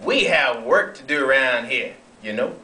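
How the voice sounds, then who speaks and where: Echoey distant recording, man acting officious railroad underling, sharp bright voice, self-important, formal, period sketch. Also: mid-range voice, lively intonation, normal speed, slight background noise, pitched below the performer's own voice. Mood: scolding. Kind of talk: amateur read-through of a period sketch. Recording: echoey room, distant mic.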